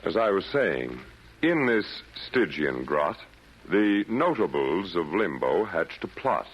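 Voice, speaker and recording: A man narrating a scripted 1940s radio broadcast as announcer, formal, measured and theatrical. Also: low voice, sing-song, slightly rough, muffled recording.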